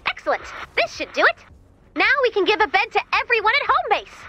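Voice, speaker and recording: A woman voicing a cheerful, chatty, excitable robot helper in a video game, with a perky, quirky delivery. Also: extremely high pitch, brisk, soft background music, heavily acted. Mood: bubbly, grateful. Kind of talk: video game mission dialogue.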